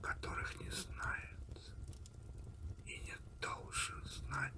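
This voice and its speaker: A man narrating a story in a breathy, hushed ASMR whisper, deliberate and unhurried, with pauses between phrases. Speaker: audiobook reader and host of an ASMR channel.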